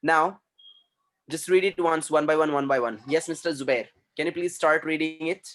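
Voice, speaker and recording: A man's voice: fairly high pitch, moderate pace, a bit bright. Man teaching an online English class. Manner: repeats instructions, corrects pronunciation.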